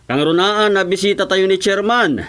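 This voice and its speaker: A man's voice: high-pitched, normal speed, a bit bright, a little hiss or hum. A man playing a rallying village organiser in a radio drama comedy, with an Ilocano accent.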